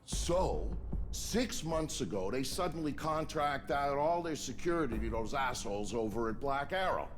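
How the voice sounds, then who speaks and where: An actor playing a savvy middle-aged ex-military buddy in a video game, brisk, wry and plain-spoken. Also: male voice, medium pitch, animated, noisy background, lightly acted. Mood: brisk, businesslike. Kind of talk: video game dialogue and narration.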